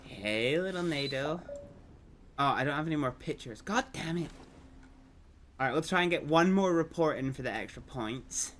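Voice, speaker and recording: Male streamer, hoarse and gravelly with a cold, slightly high voice, excitable, loud game noise behind. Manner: excitable, swears and exclaims often. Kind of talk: live gameplay commentary. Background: storm chase game sounds, wind and vehicle.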